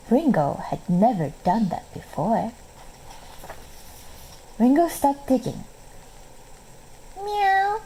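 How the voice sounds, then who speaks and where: A woman reading a children's story aloud in an expressive, measured way. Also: medium pitch, vocal fry, a little hiss or hum, low-quality recording. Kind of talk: scripted children's story narration.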